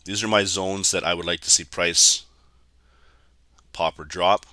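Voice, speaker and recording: A man from Canada with a raspy, hoarse voice, giving casual live commentary on a trading stream and talking straight to his viewers. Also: low-pitched, fairly even intonation, measured pace, pushed and bright, no echo, studio quality. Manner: chatty, keeps addressing viewers as guys.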